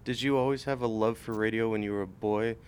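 Male interviewer, somewhat low pitch, casual questioning, brief encouraging replies, light rumbling noise. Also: fairly even intonation, fast talker, a little mellow.

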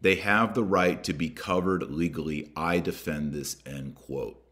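A man hosting a podcast, reading a document aloud with emphatic, deliberate delivery and adding his own commentary. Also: very deep voice, a bit bright. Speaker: podcast host.

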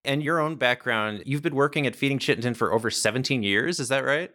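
A male podcast host asking interview questions in a clear, measured way and reading the show's outro. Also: medium pitch, bright, forceful tone.

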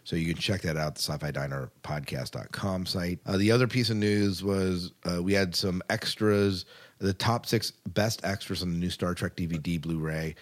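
A man's voice: low-pitched, moderate pace. A man hosting a podcast in a casual, chatty style. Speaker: podcast host.

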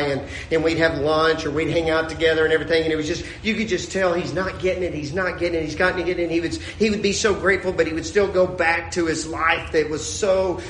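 A man's voice: a little high, a little hiss or hum. Man preaching a sermon in an echoey church. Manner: dynamic preaching, shouting peaks then dropping to a hushed storytelling tone. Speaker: pastor.

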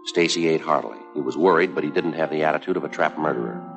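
An actor playing a terse, businesslike police detective who narrates his case in a clipped, deadpan 1950s procedural style. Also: rumbling low, fast talker, creaky. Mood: matter-of-fact, grave. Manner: deadpan, matter-of-fact. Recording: 1950s TV soundtrack with music.

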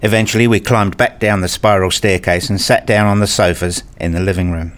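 A man's voice: sits low, steady tone, a little fast, close to an everyday voice. An actor playing an enthusiastic, curious grown man who narrates his visit in a scripted radio play. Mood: measured, reflective. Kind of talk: scripted first-person narration and acted dialogue.

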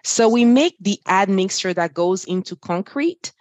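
A woman's voice: mid-range voice, lively intonation, a little slow, a little mellow, no echo. A woman from Ivory Coast, a native French speaker, talks as an interview guest. She is upbeat and chatty and laughs easily. The recording is dry and close. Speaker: interview guest, finance executive. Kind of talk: casual interview talk.

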